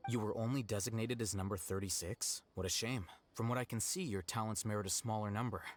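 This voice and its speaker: A cool, aloof young scholar from a video game, voiced by a man. He talks low and level, as if nothing matters much. Music plays clearly underneath. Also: fairly quick, close to an everyday voice. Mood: detached. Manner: calm, flat, matter-of-fact.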